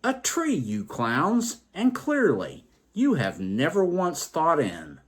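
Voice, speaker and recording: A man's voice: fairly high pitch, huge pitch swings, slow, husky, voice pushed up, full character voice. A man voicing pompous, argumentative men in a satirical poem, blustering and insistent as he recites the verse. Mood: scornful.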